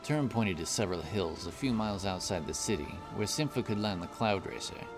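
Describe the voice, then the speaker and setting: A man narrating a scripted fantasy story in a measured, deliberate storytelling manner. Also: on the low side, lively intonation, over music.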